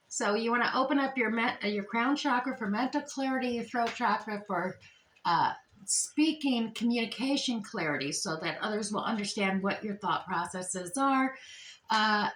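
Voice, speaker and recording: A woman's voice: a little high, fairly bright tone. A woman doing a chatty, meandering tarot reading while losing her voice, her throat strained. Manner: chatty, meandering, with many fillers and restarts. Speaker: tarot reader. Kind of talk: casual tarot reading to camera. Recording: echoey room with faint noise.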